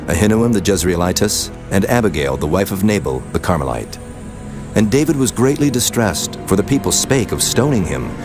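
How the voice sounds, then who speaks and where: Casting: man with a very deep voice for measured, solemn scripture narration over clear background music.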